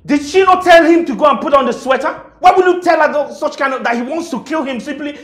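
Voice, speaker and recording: A man's voice: fairly high pitch, huge pitch swings, a little slow, full character voice. A man playing a husband who teases his wife, then scolds her for upsetting his daughter. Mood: indignant. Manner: teasing, then indignant and scolding. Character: playful husband turned protective, scolding dad. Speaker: husband and father.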